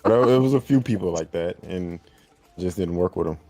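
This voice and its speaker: A man's voice: deep voice, fairly quick, darkish tone, faint music behind. A man guesting on a casual chat, talking in a laid-back, wry, joking way. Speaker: guest YouTuber.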